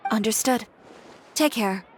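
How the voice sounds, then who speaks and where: An actress playing a proud, headstrong young fashion designer in a video game, firm, cool and self-assured. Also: female voice, fairly high pitch, a little slow, soft background music, lightly acted. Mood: cool, defiant.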